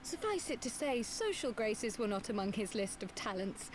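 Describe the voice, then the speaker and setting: Teasing little scholar from a fantasy game, highish voice, fancy wording, slight background noise. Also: female voice, very animated, normal speed. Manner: wry, precise, archaic formal phrasing. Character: small, teasing, self-assured female scholar of a fantasy race. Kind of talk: fantasy video game dialogue.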